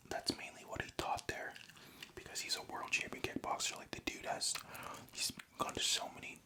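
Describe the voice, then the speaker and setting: A young man from Canada telling a story in a soft, unvoiced ASMR whisper, casual and profane, close to the mic. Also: normal speed. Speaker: vlogger.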